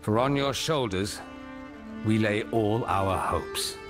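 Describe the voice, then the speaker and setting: An actor playing a grave, weary male mentor in a video game, speaking in a solemn, measured, formal way over music. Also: on the low side. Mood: grave, resolute. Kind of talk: dramatic video game dialogue. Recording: music behind the voice.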